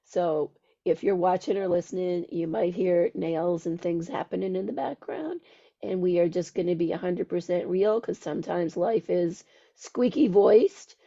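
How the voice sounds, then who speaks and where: A woman hosting a podcast, her voice squeaky from a cold, chatting casually and then slowly reading a ritual invocation. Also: creaky, warm tone, lo-fi sound. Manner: slow, deliberate, chanting readings. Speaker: podcast host.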